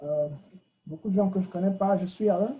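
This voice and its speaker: A man's voice: high-pitched, a little fast, warm tone, highs cut off. A man from Cameroon, giving a short, informal club speech with slides and many hesitations. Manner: informal, hesitant with frequent fillers. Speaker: club member giving the thought of the day. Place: meeting in front of an audience.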